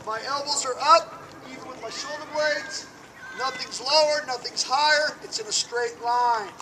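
High-voiced man coaching on a field. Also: normal speed. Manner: loud call-and-response instruction. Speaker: baseball coach. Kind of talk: outdoor coaching clinic. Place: outdoor school field. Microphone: far camera. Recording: noisy outdoor field recording.

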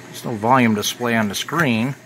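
A man's voice: mid-range voice, normal speed, poor audio. An American man giving a casual, matter-of-fact review as an amateur radio hobbyist. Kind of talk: casual product review narration. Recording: bright recording with clear background noise.

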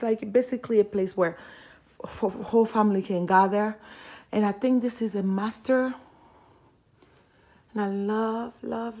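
A woman narrates a casual house tour for her viewers. Her voice is mellow and kept low, as if not to disturb others. She takes her time and gushes admiringly, chatting as she walks from room to room with the camera in hand. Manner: hushed, keeping voice low; admiring, gushing. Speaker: home tour vlogger. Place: indoors, walking through a furnished model home. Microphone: handheld phone or camera held by the speaker.

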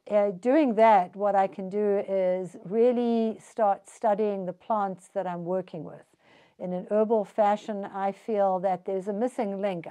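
Older woman, mellow warm tone, calm explanatory interview, indoor studio. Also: mid-range voice. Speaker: artist and herbalist. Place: indoors in a cluttered art studio.

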